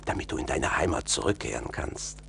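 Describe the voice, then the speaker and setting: Deep-voiced, kindly village helper. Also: male voice, animated, a little fast, gravelly, bright, forceful tone, exaggerated voice. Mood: helpful. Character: kindly village man advising a stranded stranger. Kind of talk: German radio play dialogue. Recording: slightly noisy vinyl record transfer.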